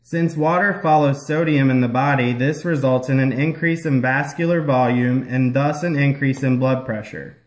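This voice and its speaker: A man's voice: mid-range voice, steady tone, normal speed, few pauses, close to an everyday voice. A man playing a patient, explanatory health-care puppet in a scripted educational show. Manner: explanatory, instructional. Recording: roomy echo.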